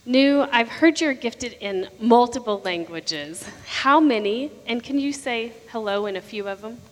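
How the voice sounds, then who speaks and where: A woman's voice: on the high side, expressive, measured pace. A woman interviewing guests on stage and asking encouraging questions. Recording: long reverb of a hall.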